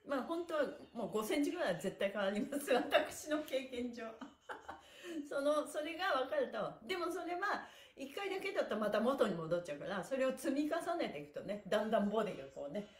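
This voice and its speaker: Middle-aged woman, high voice, encouraging livestream exercise instructor, cheerful, echoey small room. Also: sing-song, brisk. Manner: cheerful, encouraging, laughing often, exclamatory. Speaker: stretching instructor and livestream host. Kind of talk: upbeat livestream exercise class, chatting with viewers. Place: small indoor room with wooden floor.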